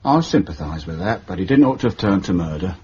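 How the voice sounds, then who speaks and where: Low-voiced deferential undertaker, muffled. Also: male voice, lively intonation, fast talker, mellow, slightly rough, full character voice. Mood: defensive, wry. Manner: deferential, self-justifying, folksy turns of phrase. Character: Bowles, stubborn respectful working-class old undertaker. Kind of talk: British radio drama acting.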